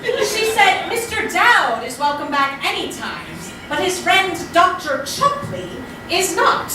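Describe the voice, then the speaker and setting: A woman's voice: very high-pitched, big pitch swings, takes it slowly, heavily acted. A woman playing an earnest, imploring young clinic worker in a theatrical comedy. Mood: imploring, exasperated. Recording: distant, very echoey, noisy stage recording.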